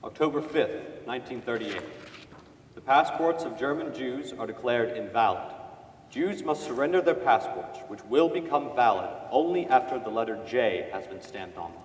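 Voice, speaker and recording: A man's voice: medium pitch, animated, normal speed, a little hiss or hum. Male stage reader, middle-range voice, scripted dramatic delivery, echoing from a distance. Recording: distant mic in echoey hall.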